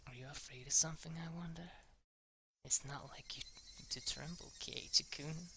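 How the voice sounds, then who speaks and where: Male reader voicing a creepy-sweet teen girl, hushed and breathy, bright edge. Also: on the high side, steady tone, moderate pace, slight background noise, heavily acted. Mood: eerie sweet. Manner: soft breathy whisper. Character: sweetly menacing teenage girl stalker.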